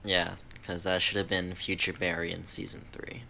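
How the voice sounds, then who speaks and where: A man's voice: low-pitched, flat delivery, normal speed, bright, forceful tone. A man co-hosting a podcast in casual, joking banter. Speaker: podcast co-host. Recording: clear background noise.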